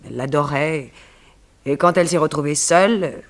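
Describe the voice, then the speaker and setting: Composed woman suspect, lowish-to-high poised delivery, formal phrasing, radio detective drama. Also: moderate pace. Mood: cool, confiding. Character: beautiful, poised woman, self-possessed murder suspect. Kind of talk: French radio detective serial acting.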